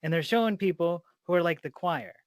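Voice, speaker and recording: A man's voice: on the high side, animated, brisk, no echo, clean studio sound. A man hosting a podcast in a casual, informal way, with plenty of swearing. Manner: informal, profanity-laced. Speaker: podcast host and interviewer.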